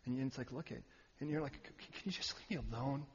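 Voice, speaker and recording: A man preaching a sermon, animated and joking with the audience, sometimes shouting out a word. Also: mid-range voice, fast talker. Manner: animated, shouting at peaks, jokey asides to the audience. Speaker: pastor. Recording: muffled hall recording.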